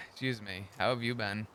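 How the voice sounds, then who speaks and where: A man's voice: fairly low pitch, steady tone, moderate pace, bright, forceful tone, a little hiss or hum. A man streaming a roleplay game, chatting in character in a casual, swearing manner. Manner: casual, swears often, chuckles.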